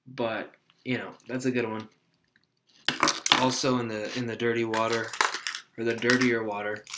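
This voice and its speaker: A young man, a fishing guide, explaining gear to a webcam at his desk in a small room, in a relaxed, rambling way with many fillers. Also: on the low side, very noisy. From Texas. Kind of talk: casual tutorial to camera.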